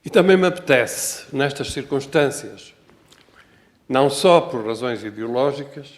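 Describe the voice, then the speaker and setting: Older man, Portuguese accent, Portuguese native speaker, halting public talk, self-correcting, wry, middle-pitched voice. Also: lively intonation. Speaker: guest architect speaker. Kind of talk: public talk, reading a prepared text. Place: lecture hall.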